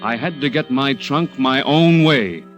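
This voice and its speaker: An American man acting as a cunning, controlling young murderer in a radio thriller, with an insinuating, halting, deliberate delivery. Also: mid-range voice, music in the background, lightly acted. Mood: tense, brooding. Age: young adult. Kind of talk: radio thriller acting with first-person narration.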